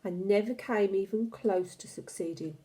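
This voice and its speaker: A woman's voice: medium pitch, normal speed, dark tone, lightly acted. A woman voicing a knowing, assured old grandmother in a storybook, explaining with authority. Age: older. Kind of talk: storybook character reading.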